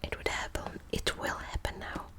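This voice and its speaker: A woman whispering close to the mic in an ASMR book video, hesitant with many ums and restarts, also reading passages aloud. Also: normal speed. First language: Dutch. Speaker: ASMR creator.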